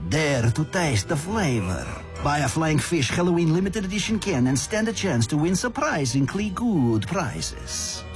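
Smooth man with a rhythmic ad read. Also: a little high, very animated, measured pace, soft background music. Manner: echoing repeated words, smooth. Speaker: ad voice-over.

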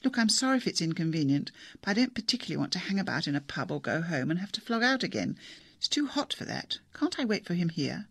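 A man voicing a brisk, no-nonsense woman barrister who speaks firmly. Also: a little high, sing-song, creaky, fairly bright tone, husky, no echo, full character voice.

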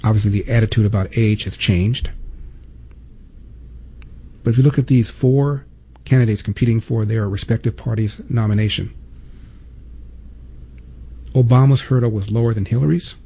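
A man's voice: on the low side, normal speed, vocal fry, long pauses, dark tone, breathy edge. A man hosting a talk show, delivering an emphatic opinion monologue full of repeated points and rhetorical questions.

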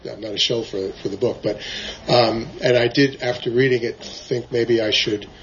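A soft-spoken man from the audience puts a question to the author. He hesitates often, stringing together fillers and restarts. His voice sits in an ordinary middle pitch, and the recording is dull and lo-fi. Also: fairly even intonation, normal speed, slight background noise. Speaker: audience member asking a question. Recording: dull lo-fi live event recording.

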